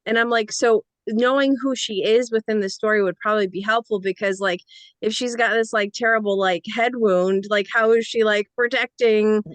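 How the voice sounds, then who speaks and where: A woman's voice: fairly high pitch, fairly even intonation, moderate pace, no echo. A woman giving informal feedback on a script as a panellist, speaking hesitantly and often dropping in "like". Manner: hesitant, peppers speech with "like".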